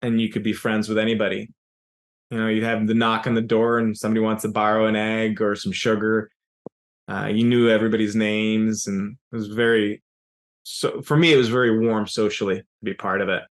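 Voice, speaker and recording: A man in his forties, a native Serbian speaker who grew up in Yugoslavia, answering interview questions about his IT services company in a measured, explanatory way. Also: lowish voice, flat delivery.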